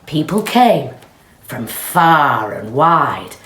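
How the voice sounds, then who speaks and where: A woman reading a children's storybook aloud with expressive, deliberate delivery. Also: sits low, a little hiss or hum. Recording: roomy, echoey sound.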